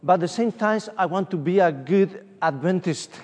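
A man's voice: sits high, moderate pace. A middle-aged man from Uruguay with a Spanish accent, preaching as an evangelist at a conference and telling personal stories with many fillers. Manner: animated, storytelling, frequent fillers. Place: large conference hall. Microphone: room microphone from a distance. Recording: distant voice with long hall reverb.

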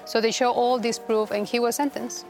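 A woman from Rica, a guest telling her story in a halting, earnest way with many restarts. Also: fairly high pitch, flat delivery, darkish tone, soft background music. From Costa Rica. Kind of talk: personal testimony interview.

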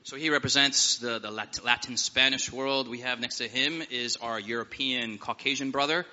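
A male pastor introduces his panel with easy humor and a casual, chatty delivery. His voice is very bright and sharp. It rings with a long hall reverb and sounds dull and lo-fi. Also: mid-range voice, normal speed. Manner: relaxed, joking, conversational. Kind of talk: opening prayer then panel introductions. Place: seminar hall with live audience.

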